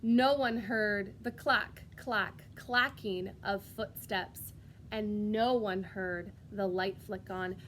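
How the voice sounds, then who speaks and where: A woman reading a storybook aloud as a preschool teacher, expressive and sing-song, pausing to put questions directly to young children. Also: mid-range voice, slight background noise.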